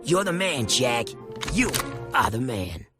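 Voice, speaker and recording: A brisk rebel commander. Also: male voice, mid-range voice, sing-song, loud music behind the voice. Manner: brisk, military. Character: gruff male resistance commander.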